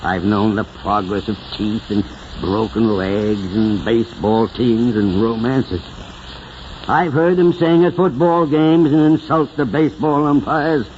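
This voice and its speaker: An actor playing an elderly, sentimental small-town mayor with a solemn, oratorical manner, in 1940s radio drama style. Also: male voice, mid-range voice, a little mellow, natural voice. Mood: solemn, stirring. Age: older. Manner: oratorical, solemn, drawn-out phrasing. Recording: muffled, hissy 1940s radio recording.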